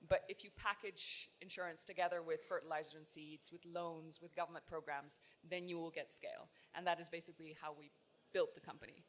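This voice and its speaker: A woman's voice: deep voice, fairly quick. Woman founder speaking on a conference panel. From Tanzania. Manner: relaxed, self-deprecating storytelling with frequent fillers. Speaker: startup founder, panel guest. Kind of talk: conversational panel answer. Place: large loud conference hall.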